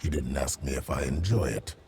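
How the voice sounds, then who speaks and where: An actor playing a grim, vengeful undead killer in a game, with a gravelly rasp and a terse, menacing delivery. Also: male voice, a little fast, noisy background. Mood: dry, menacing. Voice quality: very deep gravelly rasp, at times breathy. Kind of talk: cinematic game acting.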